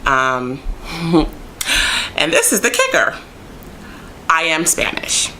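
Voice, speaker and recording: Chatty low-voiced woman, bright tone. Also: takes it slowly, slight background noise, low-quality recording. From United States. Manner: chatty, rambling, many ums and likes; animated, jumps high when excited. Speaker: vlogger. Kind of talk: casual chat to camera.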